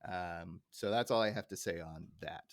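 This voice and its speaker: A man's voice: low-pitched, normal speed, no room sound, studio quality. A man hosting a podcast in a casual, conversational style. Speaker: podcast host.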